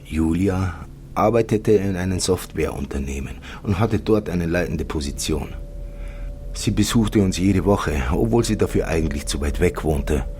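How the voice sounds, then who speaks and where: A man reading a scripted first-person story as a wistful narrator, in a measured way with dramatic pauses. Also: low voice, darkish tone, slight background noise, close to an everyday voice. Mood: wistful, calm. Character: wistful male narrator mourning an imagined life.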